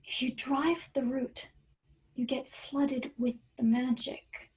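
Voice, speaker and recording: A woman's voice: high voice, little pitch movement, slow pace, darkish tone, rough recording. Woman acting a shaken, determined mother. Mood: shaken, insistent. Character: Sue, shaken, determined mother hunting for her daughter. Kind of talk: screenplay table read acting.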